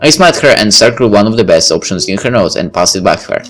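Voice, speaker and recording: A man's voice: fairly low pitch, animated, fast, fairly bright tone, soft background music. A man reading a visual novel aloud as a let's play narrator, with casual asides.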